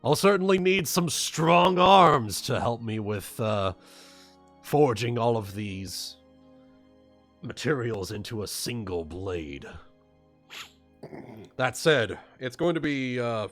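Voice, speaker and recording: A man's voice: mid-range voice, lively intonation, takes it slowly, long pauses, a bit bright, faint music behind. A man playing a weary, earnest blacksmith in a tabletop fantasy game, speaking hesitantly. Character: weary, earnest male blacksmith with arcane knowledge.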